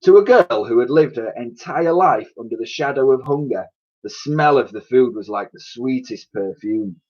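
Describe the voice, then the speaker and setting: Male storybook reader for children, expressive narration, slightly muffled lo-fi sound. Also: medium pitch, normal speed. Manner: expressive, acts out characters' dialogue. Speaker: teacher reading aloud to pupils.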